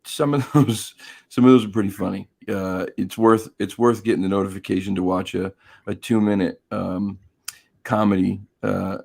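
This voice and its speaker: Gravelly, hoarse man hosting a livestream. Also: fairly low pitch, steady tone, normal speed, darkish tone. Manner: chatty, greets viewers by name. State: hoarse, losing his voice. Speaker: live stream host. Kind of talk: casual live-stream chat.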